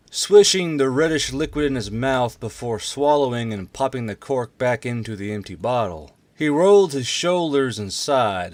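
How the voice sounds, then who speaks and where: A man's voice: medium pitch, dry recording. A man reading a fantasy war story aloud as scripted narration. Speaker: narrator, audiobook reader.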